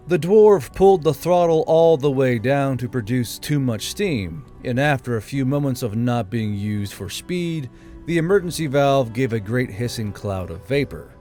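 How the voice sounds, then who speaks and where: A man narrates a fantasy audiobook in a mid-pitched voice. The delivery is scripted storytelling, with faint music underneath. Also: lively intonation, moderate pace, warm tone. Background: background music.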